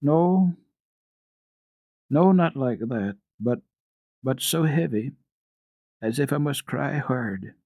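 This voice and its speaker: Man voicing a timid, homesick little girl, pitched up. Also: lively intonation, dark tone, no echo. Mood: sad, timid. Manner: halting, faint, tearful delivery. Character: small frightened homesick Swiss girl, timid and earnest.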